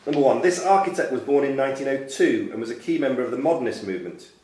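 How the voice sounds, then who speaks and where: A man announces at a live quiz as co-host. His voice is of middling pitch. The room is echoey, with clear background noise over the recording. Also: animated, fast talker. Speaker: quiz co-host calling buzzers and questions. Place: club function room, indoors.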